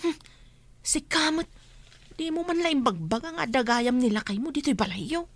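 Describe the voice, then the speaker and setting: A woman's voice: sits high, expressive, moderate pace. A woman playing a nosy, chatty village friend who gossips, with an Ilocano accent, in a comedy radio drama. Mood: prodding.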